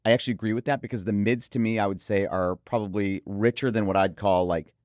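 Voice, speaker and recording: A man's voice: lowish voice, fairly even intonation, fast, a little mellow, no echo, clean studio sound. A man co-hosting a product preview, reviewing gear conversationally with emphatic, enthusiastic praise.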